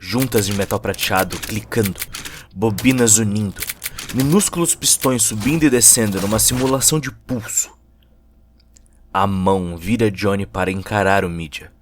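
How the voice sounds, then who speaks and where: A man's voice: on the low side, moderate pace, noisy background. A man with a Brazilian Portuguese accent giving dramatic scripted narration of a cyberpunk story. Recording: music under the voice.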